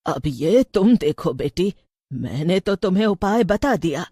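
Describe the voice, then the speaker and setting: A woman playing a wise older village woman, measured and cautioning, in an animated story. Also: on the low side, breathy edge, no echo, studio quality. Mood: grave, advising. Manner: measured, advising. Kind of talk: animated Hindi story acting.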